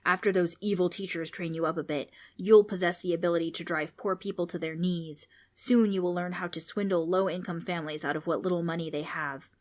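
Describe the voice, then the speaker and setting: A woman reading aloud, voicing a loud, scornful male ghost who mocks children. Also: lowish voice, fairly even intonation, brisk, few pauses, close to an everyday voice. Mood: mocking. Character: bossy male ghost, gruff and mocking.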